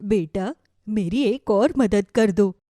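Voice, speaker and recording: Woman acting a soft, mellow old village woman. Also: medium pitch, slow pace, no echo, studio quality, lightly acted. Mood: pleading. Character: kindly old village woman living alone. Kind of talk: animated Hindi moral story voice acting.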